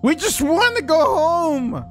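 A man's voice: extremely high pitch, very animated, a little slow, a bit bright, soft background music. A man play-acting in an improvised game roleplay, slangy and repeating phrases in bursts. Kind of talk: excitable improvised gaming roleplay.

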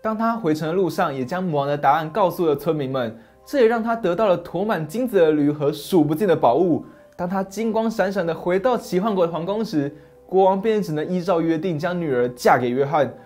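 A man in his thirties narrating a fairy tale and explaining it, steady and expressive. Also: fairly high pitch, a little fast. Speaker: storyteller host. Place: dim study set, indoors. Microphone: lapel mic.

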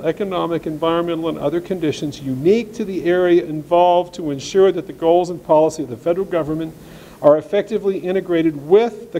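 A man's voice: a little high, mellow, a little hiss or hum, rough recording. A man giving a blunt, sarcastic talk at a farm meeting, reading passages of law aloud and commenting on them. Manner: reads legal text aloud, then comments. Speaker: speaker at an agricultural seminar. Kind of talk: folksy presentation to ranchers.

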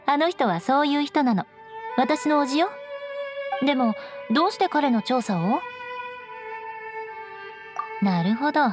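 A woman voicing a proud, aloof young noblewoman knight in an anime game, with composed, elegant, haughty phrasing. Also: high voice. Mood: cool. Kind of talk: Japanese anime game voice acting. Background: orchestral game music with strings.

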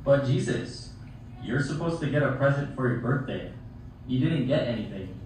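A man's voice: medium pitch, expressive, normal speed, darkish tone, close to an everyday voice. A man voicing a needy, outspoken puppet in a children's show, eager and insistent. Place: theatre, behind puppet stage curtain. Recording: echoey hall from far off, faint noise.

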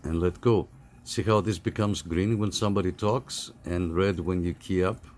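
A middle-aged man giving a casual, halting, step-by-step phone app tutorial over some background noise. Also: sits low. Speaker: tutorial host.

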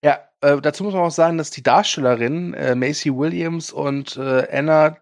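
A man's voice: fairly high pitch, normal speed, no echo, clean studio sound. A man co-hosting a casual film news podcast, talking with frequent hesitations and filler sounds. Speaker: podcast co-host.

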